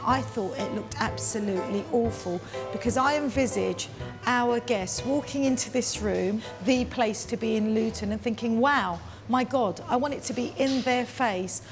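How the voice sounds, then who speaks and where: A woman's voice: on the high side, moderate pace. A middle-aged woman speaking emphatically and dramatically in a documentary interview. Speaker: hotel owner. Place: large bare room under renovation. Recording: prominent music under the speech.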